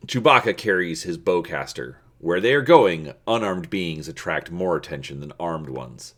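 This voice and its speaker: A man hosting and dramatically reading an adventure story, deliberate and stretching words for emphasis. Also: low-pitched, expressive, fairly bright tone. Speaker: video host and storybook narrator.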